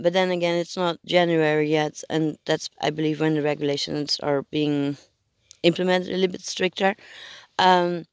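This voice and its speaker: An adult vlogger speaking hoarsely because they are sick, talking in a halting, hesitant way and searching for words during a casual video check-in. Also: mid-range voice, no echo, clean studio sound.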